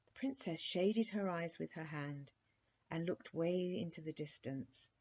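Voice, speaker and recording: A woman reading a children's bedtime story aloud as an expressive storyteller. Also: on the low side, a little slow. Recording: dull, muffled lo-fi recording.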